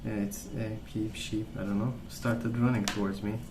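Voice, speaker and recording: Man, soft-spoken ASMR ramble, creaky voice, fairly even intonation, calm and gentle, unhurried. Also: lowish voice. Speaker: ASMR video creator. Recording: roomy sound with clear background noise.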